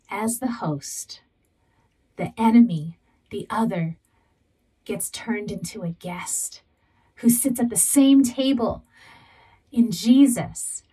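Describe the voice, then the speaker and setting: A woman from Southern California preaching a sermon and telling her own faith story in an earnest, deliberate way. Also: medium pitch, darkish tone, slightly rough, recorded from a distance, poor audio. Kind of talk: sermon with personal testimony.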